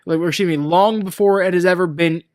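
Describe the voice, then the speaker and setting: A man with a high-pitched voice co-hosts a movie review show. He talks casually but emphatically, peppering his opinions with swearing and blunt complaints. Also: fairly even intonation, quick pace. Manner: emphatic, profane ranting. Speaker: podcast co-host reviewing movies.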